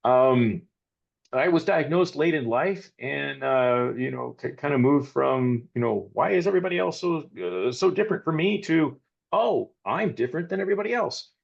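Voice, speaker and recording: Man on a remote call, gravelly and fairly high, lo-fi audio, chatty. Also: lively intonation. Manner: measured, chatty, many fillers. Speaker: panelist. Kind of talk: casual panel introduction. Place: remote, joining online.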